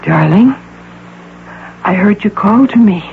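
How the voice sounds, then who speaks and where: A woman's voice: mid-range voice, expressive, slow, creaky. An actress playing a domineering, deluded executive woman who poses as a doting mother, in insistent 1980s radio drama style. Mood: tender, possessive. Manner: insistent, theatrical. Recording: tinny, noisy old radio broadcast.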